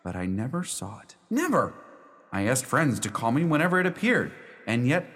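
A man's voice: medium pitch, very animated, moderate pace, a bit bright. An actor playing a proud young lawyer who tells his own ghost tale emphatically and theatrically in a radio play. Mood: frustrated. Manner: emphatic, storytelling. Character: proud half-Scottish, half-Yankee young male lawyer recounting his haunting. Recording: reverberant hall sound.

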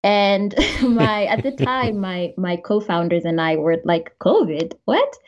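A Kenyan woman with an accent touched by American speech, a journalist who tells stories in a relaxed way as a podcast guest. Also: mid-range voice, slow, highs cut off. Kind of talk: casual interview chat. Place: home in Kenya.

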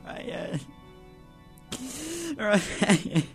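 Man playing high, distraught teen boy. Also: extremely slow, vocal fry, soft background music, heavily acted. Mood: grieving. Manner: anguished, disbelieving. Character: distraught teenage boy losing his friend. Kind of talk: radio drama acting.

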